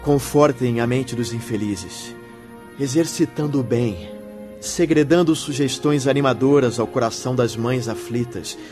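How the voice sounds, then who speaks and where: An actor playing a kindly, earnest spirit mentor in a radio drama, speaking in solemn, formal exhortation. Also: male voice, medium pitch, measured pace, over music, natural voice.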